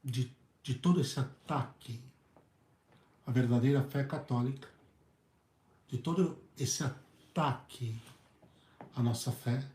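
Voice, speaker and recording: A hoarse male priest with a Brazilian Portuguese accent, preaching earnestly in halting phrases and often repeating his words. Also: mid-range voice, a little mellow. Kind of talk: preached talk on a video channel. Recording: echoey room.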